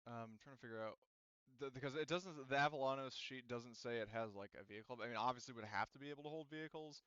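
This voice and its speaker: Casting: male game master, mid-pitched and clear, casual halting explanations, dry, slightly muffled recording. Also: a bit bright, dry recording. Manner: halting, thinking aloud. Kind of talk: casual tabletop game narration.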